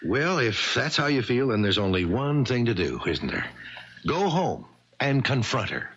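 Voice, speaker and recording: An actor playing a sceptical, level-headed friend in a 1970s radio mystery, wry and reasoning in manner. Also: male voice, mid-range voice, very animated, measured pace, fairly bright tone, slightly rough, heavily acted. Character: sceptical, level-headed confidant, wryly reproachful male friend. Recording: dull vintage radio recording.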